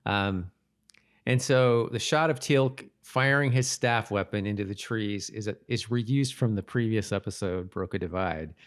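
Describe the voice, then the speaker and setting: A man's voice: on the low side, a little slow. A man co-hosting a podcast in casual chat, often repeating and restarting his phrases. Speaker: podcast co-host. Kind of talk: casual chat about a TV episode.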